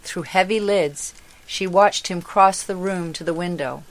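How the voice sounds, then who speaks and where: A woman reading a storybook as narrator in a measured, deliberate manner. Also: lowish voice, rough recording.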